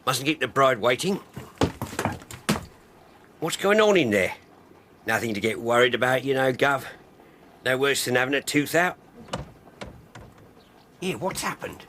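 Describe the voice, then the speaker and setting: An actor playing a chatty Victorian cab driver, informal and familiar, in a radio drama. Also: male voice, medium pitch, expressive, unhurried, long pauses, noisy background, close to an everyday voice. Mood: breezy, then baffled. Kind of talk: period radio drama acting.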